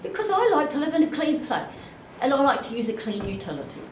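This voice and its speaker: A woman's voice: high-pitched, sing-song. A woman in her seventies with a New Zealand accent, asking a blunt, indignant question from the floor at a public meeting on a handheld mic. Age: 70s. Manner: blunt, indignant, slow and emphatic. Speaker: audience member asking a question. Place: chapel hall. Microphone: handheld microphone. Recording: echoey hall, faint room noise.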